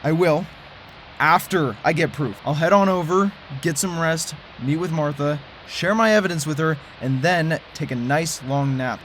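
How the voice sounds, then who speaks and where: A man's voice: fairly high pitch, normal speed, fairly bright tone, close to an everyday voice. A man playing a tired, determined former conspiracy theorist, earnest in delivery, with a noisy background. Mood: eager. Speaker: lead character.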